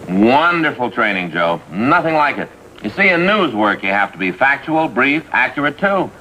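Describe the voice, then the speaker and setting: Male, American; eager, self-satisfied detective partner, animated pitch, bright tone, vintage TV sound. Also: moderate pace. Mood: cheerfully boastful. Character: cheerful, boastful, earnest male police detective sidekick. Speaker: supporting actor. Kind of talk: 1950s TV police drama acting. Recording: faintly noisy vintage TV soundtrack.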